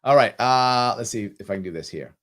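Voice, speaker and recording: A man's voice: medium pitch, brisk, fairly bright tone. Middle-aged new guy hosting a live q&a, reads chat comments then rambles an answer. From New York City, South Bronx. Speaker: livestream host answering viewer questions. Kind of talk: live ask-me-anything chat.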